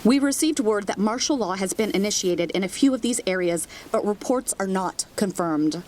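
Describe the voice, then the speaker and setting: A woman's voice: medium pitch, expressive, normal speed, darkish tone, slight background noise, natural voice. A woman playing a serious, composed television news anchor reading a scripted bulletin in an audio drama. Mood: grave, formal.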